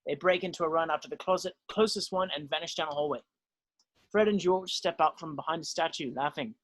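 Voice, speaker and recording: A man's voice: fairly high pitch, a little fast, a bit bright, no echo. A man narrating stage directions in an amateur screenplay table read.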